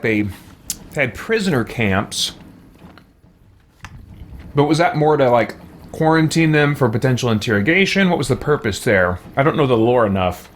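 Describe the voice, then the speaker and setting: Animated man doing casual gameplay commentary. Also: mid-range voice. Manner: thinks aloud, muses to himself. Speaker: let's play host. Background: game music.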